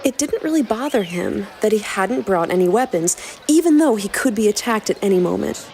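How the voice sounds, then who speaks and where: A woman's voice: mid-range voice, expressive, brisk, gravelly, a little mellow, slight background noise. A woman podcast host reading a scripted promo and narrating a story dramatically.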